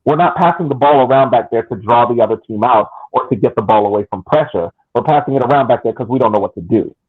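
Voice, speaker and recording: A man's voice: mid-range voice, fast, slightly rough, phone-quality sound. A man co-hosting a soccer podcast, making long argumentative points in casual chat. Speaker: podcast co-host.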